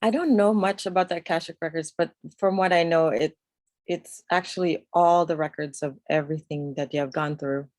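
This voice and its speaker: A woman's voice: sits low, steady tone, fairly quick, a little mellow, no room sound, studio quality. A woman raised in New York, a guest on a video call, speaking reflectively and searching for her words. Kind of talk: casual conversation over a video call.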